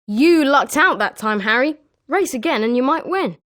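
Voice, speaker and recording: A woman's voice: sits high, expressive, normal speed, fairly bright tone. A woman with a British accent voicing an eager, sporting schoolboy wizard with chirpy, emphatic delivery in a video game. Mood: consoling. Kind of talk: scripted video game character lines.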